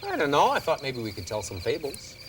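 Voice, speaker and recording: A man's voice: medium pitch, huge pitch swings, a little fast. A man playing a grown-up who explains fables to children in a folksy way in a scripted children's video. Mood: easygoing. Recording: distant, noisy with chirping crickets.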